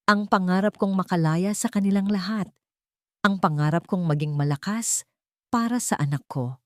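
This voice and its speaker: A woman voicing a hurt but resolute young mother-to-be in an audio drama, earnest and quietly defiant. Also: mid-range voice, normal speed, dry recording, clean studio sound, natural voice. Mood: defiant resolve.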